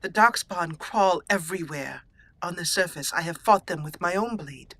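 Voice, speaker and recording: A woman voicing a proud, dignified exiled noblewoman warrior in a roleplayed video game, speaking formally and deliberately. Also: fairly low pitch, fairly bright tone, no room sound. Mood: grave, earnest.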